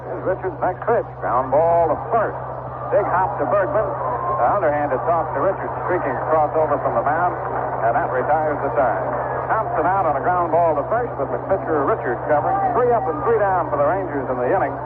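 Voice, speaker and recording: A man calling baseball play-by-play on live radio in a measured, descriptive way with conversational asides. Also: on the high side, animated, phone-quality sound. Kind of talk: live radio sports commentary. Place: outdoor ballpark broadcast. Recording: noisy vintage 1970s AM radio broadcast.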